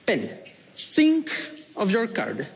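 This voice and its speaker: A man from Ecuador with a Latin American Spanish accent, speaking as a stage magician to volunteers, deliberate and polite with playful, pointed pauses. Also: high-pitched, wildly swooping pitch, gravelly. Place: TV show stage in a large hall. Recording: echoey stage hall with noise.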